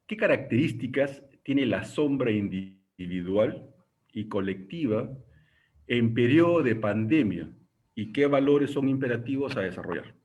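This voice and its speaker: A man acting as host, formally introducing and questioning a guest speaker in halting, hesitant phrases. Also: mid-range voice, lively intonation, darkish tone.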